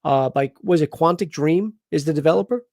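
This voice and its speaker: A man hosts a casual live chat, talking in an animated, rambling way full of restarts. His voice sits a bit high, and the sound is dry and close with no room echo. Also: fairly quick. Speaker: host.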